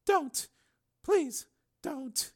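Man voicing a high, distraught, pleading woman. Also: animated, very slow, heavily acted. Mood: pained, pleading. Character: distraught, pleading woman doctor, hurt but devoted.

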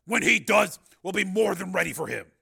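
A man's voice: high voice, expressive, a little fast, creaky, pushed and bright, breathy edge, voice pushed up, full character voice. A man voicing a blustering, impatient lawman in an audiobook, blunt and exasperated. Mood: cocky, threatening. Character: blustering, impatient male marshal, cocky lawman.

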